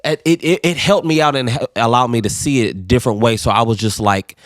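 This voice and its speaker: A man's voice: medium pitch, fast, no echo, clean studio sound. A man co-hosting a podcast, talking earnestly and reflectively in casual conversation, swearing now and then. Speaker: podcast co-host.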